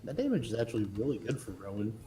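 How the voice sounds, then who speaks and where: A man's voice: on the low side, sing-song, quick pace, mellow. A man chatting informally as a player in an online tabletop game. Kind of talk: casual chat during an online game. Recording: music playing behind the voice. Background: music.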